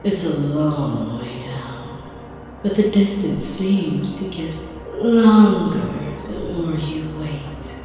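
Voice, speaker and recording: A sinister female tyrant, played by a woman, speaks in a low register with very drawn-out, deliberate phrasing. Her breathy delivery often drops toward a whisper, full of theatrical menace. It is an ASMR roleplay steeped in cavernous reverb. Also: lively intonation, music in the background. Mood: sinister, taunting. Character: menacing female empire ruler who conjures victims' worst fears.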